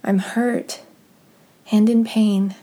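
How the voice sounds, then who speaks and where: Very slow, hushed, husky-voiced woman. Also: medium pitch, flat delivery. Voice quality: soft, breathy, husky. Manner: hushed, unhurried, contemplative. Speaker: guided prayer leader. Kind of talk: slow meditative scripture reading and guided prayer.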